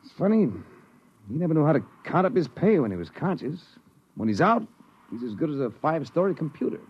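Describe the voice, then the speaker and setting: An American man playing a cynical, slangy spaceship crewman with a rough voice in 1950s radio style. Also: fairly high pitch, sing-song, a little fast. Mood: knowing. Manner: slangy storytelling. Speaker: actor in a radio drama. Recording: muffled vintage radio recording.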